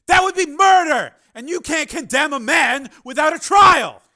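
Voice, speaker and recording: A man voicing a desperate male project chief who speaks in a strained, halting way and bursts into furious shouts. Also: a little high, lively intonation, heavily acted. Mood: shouting.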